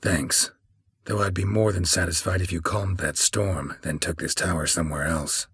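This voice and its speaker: An American man voicing a stoic, world-weary, middle-aged monster hunter in a video game, with a gravelly, husky voice and a deadpan, clipped delivery. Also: deep voice, normal speed, dry recording, clean studio sound, full character voice. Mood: dry, polite. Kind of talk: video game character dialogue lines.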